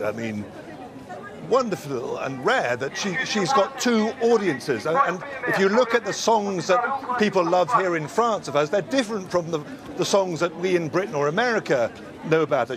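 Guy reporting live from a busy street, crowd loud behind him, slightly high voice, takes his time. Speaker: correspondent. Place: outdoors in a busy city street. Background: crowd of fans.

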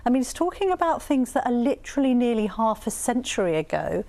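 A woman in her forties on a political debate panel, combative and pushing her point with rapid-fire rhetorical questions. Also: a little high, big pitch swings, vocal fry, a little mellow. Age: 40s. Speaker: political commentator.

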